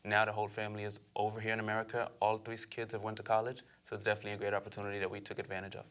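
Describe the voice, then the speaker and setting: A man's voice: on the low side, completely flat delivery, fairly bright tone. A young man from Aruba, a guest on an interview show, talking casually and eagerly with fillers along the way. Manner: fast, eager, frequent fillers and laughs.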